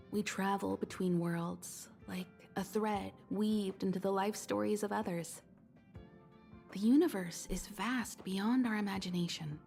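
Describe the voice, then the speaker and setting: A gracious grown woman mentor from a video game. She speaks at a middle pitch in composed, elegant phrases. Her temper is calm and wise, and soft music sits behind her. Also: creaky. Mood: solemn, welcoming. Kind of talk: scripted video game voice acting.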